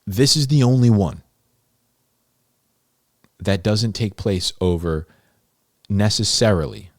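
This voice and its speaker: Male Bible teacher, low register, dry sound, unscripted and conversational, emphatic repetition. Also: expressive, slow, no room sound. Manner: conversational and emphatic, repeats key phrases for stress. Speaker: host of a Bible-explainer series, teacher.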